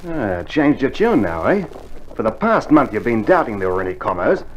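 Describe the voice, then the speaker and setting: A man's voice: medium pitch, very animated, moderate pace, close to an everyday voice. An actor playing a sceptical, argumentative Australian soldier teasing his mate in an old radio war play. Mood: needling. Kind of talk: 1960s military radio play acting. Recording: slightly noisy old vinyl recording.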